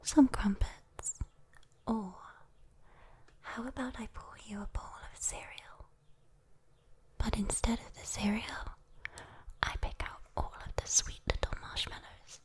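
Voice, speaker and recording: A woman with a British accent voicing a sweet, teasing, possessive demon girl in a soft, intimate whispered ASMR roleplay. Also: on the high side, fairly even intonation. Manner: slow, coaxing, intimate, playful. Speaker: ASMR voice performer.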